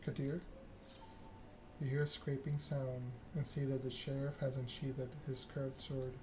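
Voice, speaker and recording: Man, ASMR story game reader, soft, measured, flat intonation, noisy recording. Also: mid-range voice. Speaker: video game let's-player reading the game aloud. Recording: clear background noise.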